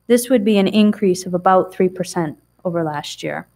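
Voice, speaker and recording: A woman's voice: lowish voice, normal speed, dark tone. A middle-aged woman presenting audit figures to a town council in a chatty, explanatory way with frequent ums and restarts, recorded on a table mic in a council chamber.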